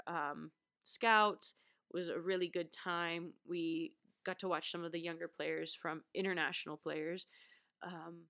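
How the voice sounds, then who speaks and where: A woman's voice: fairly low pitch, sharp tone, no echo, clean studio sound. A young adult woman with a stuffed-up, congested voice, chatting casually as a basketball podcast host, with lots of "like" and laughs. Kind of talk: casual chat.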